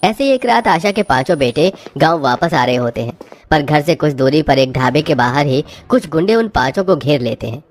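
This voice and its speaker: Low-pitched woman narrating a story. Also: animated, normal speed, a little hiss or hum. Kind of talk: scripted cartoon story narration.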